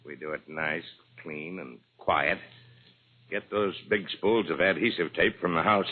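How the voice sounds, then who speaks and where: An actor playing a cold-blooded tough crook who gives clipped, commanding orders in underworld slang, in 1940s radio crime drama style. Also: male voice, low voice, a little slow, husky. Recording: muffled, noisy old radio recording.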